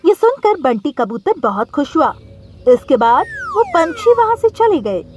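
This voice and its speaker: A woman's voice: high-pitched, big pitch swings, a little slow, darkish tone, faint music behind. A woman narrating a scripted cartoon story as the storyteller.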